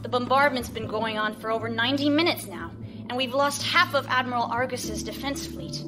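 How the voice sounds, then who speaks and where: Woman doing a wry blunt space crew officer, casual, highish voice, loud music behind. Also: fairly quick, lightly acted. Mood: wry, frank. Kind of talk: science fiction audio drama acting.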